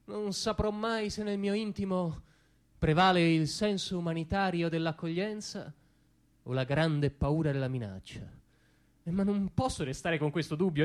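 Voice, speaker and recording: A man performing a dramatic comic stage monologue as an anxious, conflicted dreamer, halting and repeating himself in agitation. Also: high voice. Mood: rueful, settling. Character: anxious, conflicted dreamer, a man torn between conscience and panic.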